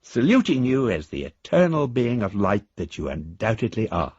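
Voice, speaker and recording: A man's voice: fairly low pitch, big pitch swings, moderate pace, a little mellow, breathy edge, no room sound, dull audio. An actor playing an eccentric, grandiose amateur philosopher, reading his letter in pompous, florid phrases for a radio drama. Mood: exalted, self-important.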